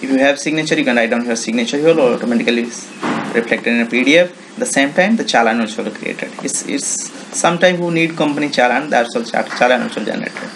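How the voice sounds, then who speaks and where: Indian-accented man with a creaky voice. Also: mid-range voice, noisy background. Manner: halting, non-native English phrasing with repeated check words. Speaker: software demonstrator. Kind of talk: informal screen tutorial, unscripted.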